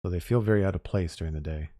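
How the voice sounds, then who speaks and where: A man's voice: deep voice, racing, no room sound, clean studio sound. A man casually chatting to his viewers while building in a game, his voice a little worn from talking all day. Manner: relaxed, chatty, talks to chat. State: losing his voice. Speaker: Minecraft streamer. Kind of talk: casual live-stream chat while building.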